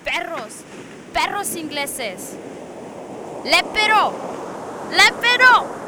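High-voiced Spanish captive girl. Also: sing-song, slow pace, heavily acted. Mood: scornful fury. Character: captive Spanish-speaking young woman, frightened, defiant. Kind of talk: radio drama acting. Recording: distant, noisy sea and wind ambience.

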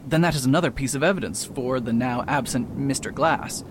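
A man's voice: mid-range voice, brisk, a little hiss or hum, lightly acted. An actor playing a confident male doctor-detective in a radio drama, pompous and precise in manner. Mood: confident, insistent.

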